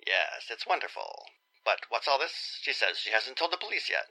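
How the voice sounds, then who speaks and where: An actor playing an agreeable, easily startled gentleman in a 1940s-style radio drama. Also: medium pitch, big pitch swings, normal speed, exaggerated voice, poor audio.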